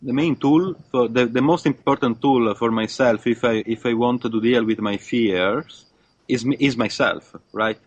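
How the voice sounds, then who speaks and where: A man speaking as a guest in a reflective conversation, halting often with fillers and restarts. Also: mid-range voice, no echo. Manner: hesitant, many fillers and restarts. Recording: dull lo-fi dry sound.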